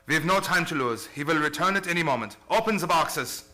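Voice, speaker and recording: An actor playing an urgent, commanding vampire-hunting doctor in a radio drama, with formal, insistent phrasing. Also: male voice, on the high side, lively intonation, a little fast, lightly acted. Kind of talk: old-time radio drama acting.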